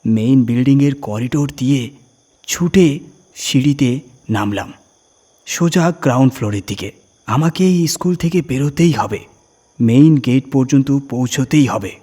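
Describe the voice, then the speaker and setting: A man with a husky voice, narrating a scary first-person story with suspenseful, deliberate phrasing and dramatic emphasis. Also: medium pitch, warm tone.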